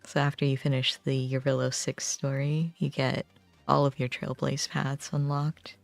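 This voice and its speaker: A woman livestreaming a game, chatting casually and thinking aloud in a halting way. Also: rumbling low, flat delivery, creaky. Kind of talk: casual chat while playing a game. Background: game music.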